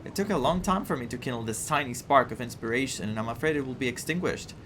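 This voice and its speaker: A man's voice: medium pitch, fast, fairly bright tone, slight background noise, lightly acted. A man voicing a small, forlorn living flame from a video game, in plaintive storytelling. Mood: forlorn, pleading. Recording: game music behind the voice.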